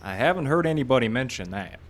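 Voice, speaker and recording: A man's voice: medium pitch, animated, fast, slight background noise, lightly acted. Chatty frontier town doctor played by a man. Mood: earnest, insistent. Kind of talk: live old time radio western acting.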